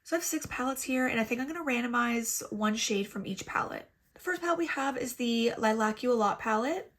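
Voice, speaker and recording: Congested woman beauty vlogger, chatty and self-mocking, slightly high voice, laptop mic at home. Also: lively intonation, brisk, a bit bright. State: congested, stuffy nose. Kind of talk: casual makeup tutorial chat. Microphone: laptop built-in mic.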